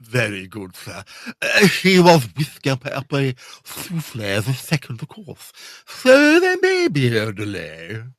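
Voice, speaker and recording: A man's voice: medium pitch, huge pitch swings, slow pace, fairly bright tone, no echo, full character voice. A man playing a deferential, evasive butler in a period audio drama, hesitant and formally discreet.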